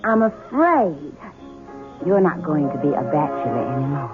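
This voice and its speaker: A woman's voice: sits low, sing-song, normal speed. Through a dull, lo-fi radio sound with music behind comes a coy Southern belle. She coaxes and flirts in a drawl, sweetly possessive. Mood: coy, coaxing. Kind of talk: radio drama acting.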